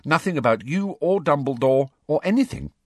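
A prim, bookish teenage girl in a storybook , acted out by a male narrator. She is bossy and disapproving, speaking matter-of-factly in a raised pitch. The recording is close and dry. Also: expressive, moderate pace, dry recording. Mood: dark, simple.

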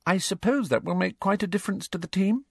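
A man's voice: high voice, quick pace, slightly rough, dry recording, clean studio sound, voice pushed up. A man voicing a bookish, bossy teenage girl with a prim, matter-of-fact way of talking, in an audiobook. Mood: thoughtful, vague.

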